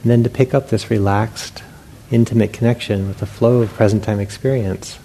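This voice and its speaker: Male meditation teacher, dark relaxed tone with gravelly fry, speaking gently in spaced-out phrases. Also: fairly low pitch. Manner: unhurried, gentle guidance with long pauses. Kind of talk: guided meditation instruction to a group. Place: meditation hall with a group. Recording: faint background noise.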